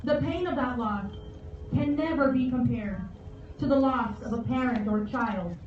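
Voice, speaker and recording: An American woman in her forties delivers a measured, solemn memorial address. Her voice is high and comes from far off with strong echo, as over an outdoor PA. The phrasing is formal and deliberate. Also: faint music behind. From San Antonio, Texas, United States. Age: 40s. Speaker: guest speaker, veterans service officer. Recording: echoey outdoor PA from afar, dull, faint noise.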